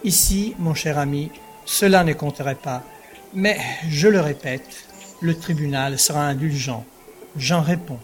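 An actor playing a courteous, indulgent provincial court president in a French audio drama, measured and formal. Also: male voice, on the high side, soft background music, close to an everyday voice. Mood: reassuring, judicious.

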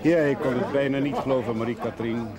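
A man's voice: mid-range voice, steady tone, fairly quick, over a noisy crowd, lightly acted. A male actor playing a wistful, ageing villager in a television drama, speaking in a confiding way.

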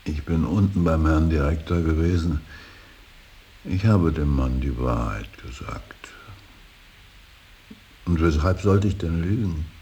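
Old grieving painter guy, super low voice, slow and heavy, solemn radio play, bit of hiss. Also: mellow, heavily acted. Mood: weary, grieving. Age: older. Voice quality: very deep, gravelly. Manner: halting, weighty, brooding, breaking into outbursts. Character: grieving old painter, stern, brooding, bitterly devout father. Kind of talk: slow, solemn German stage-style radio drama acting. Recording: hissy radio play recording.

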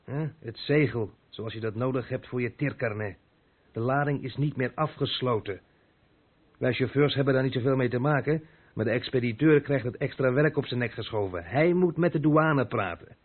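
An actor playing a gruff, reproachful long-haul lorry driver in a Dutch radio drama. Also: male voice, mid-range voice, moderate pace, natural voice. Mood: irritated, explaining. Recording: muffled narrow-band old radio play.

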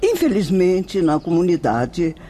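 Slow, mellow, kindly old-world nun spirit. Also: female voice, fairly low pitch, wildly swooping pitch, a little hiss or hum. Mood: nostalgic, tender. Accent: Brazilian. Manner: slow, gentle, maternal, deliberate. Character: kindly, serene spirit nun of indefinable age, gently devout. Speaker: actor in a radio drama. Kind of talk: Brazilian radio soap acting.

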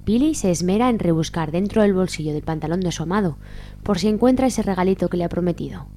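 A female narrator with an accent from Spain reads a scripted radio serial. Her voice is mellow and a little low, with faint noise behind it. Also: animated, fast. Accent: Spanish, from Spain.

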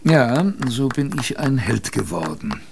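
Gravelly, wry expedition survivor. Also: male voice, mid-range voice, sing-song, measured pace, noisy background. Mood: wry, sombre. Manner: wry, colloquial, thoughtful. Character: former polar expedition man recalling his hardships with wry humour. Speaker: narrator. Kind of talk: conversational storytelling in a German radio drama.

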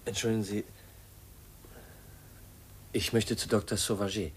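A man's voice: lowish voice, level tone, unhurried, slight background noise, close to an everyday voice. An actor in a 1950s German radio play, playing an earnest, suspicious young man who is polite but insistent and brooding. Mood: polite, reserved. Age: young adult.

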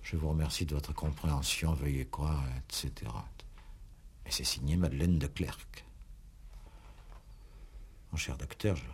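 Deep, husky, puzzled judge. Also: male voice, measured pace, pauses often, exaggerated voice. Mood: reading gravely. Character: troubled, puzzled investigating judge. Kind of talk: 1960s French radio play acting. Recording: old radio drama recording.

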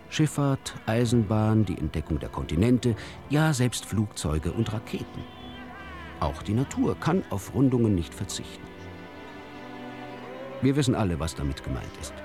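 Wry literary storyteller for musical radio drama, deep register, very varied intonation, deliberate theatrical delivery. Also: male voice, warm tone. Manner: deliberate, theatrical, with pointed pauses. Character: wry male storyteller of absurd fairy tales. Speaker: narrator.

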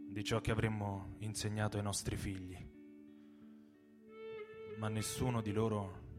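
A man giving a measured, solemn dramatic reading of a literary text, with pauses between phrases. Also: on the low side. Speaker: reader in a radio reading performance. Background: plucked guitar music.

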